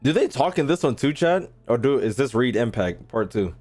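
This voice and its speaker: A man's voice: mid-range voice, sing-song, moderate pace, a little mellow, soft background music. A man livestreaming a game, chatting casually to his viewers in slangy, profane, joking talk. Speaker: game streamer.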